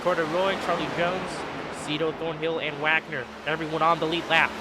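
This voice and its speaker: Man, race commentator, stuffy nose, high-pitched, excitable, car noise under the voice. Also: moderate pace. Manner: excitable, rises in pitch at restarts and wrecks. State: stuffy, a little sick. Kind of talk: sim racing play-by-play commentary.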